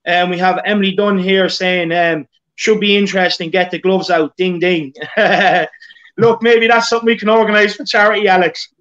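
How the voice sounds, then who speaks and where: A man's voice: high-pitched, flat delivery, moderate pace, fairly bright tone, lo-fi sound. A man with an Irish accent hosting a casual live football chat, reading out viewer comments with lots of fillers and stammered restarts. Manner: reads out live viewer comments, filler-heavy, repeats words. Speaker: host.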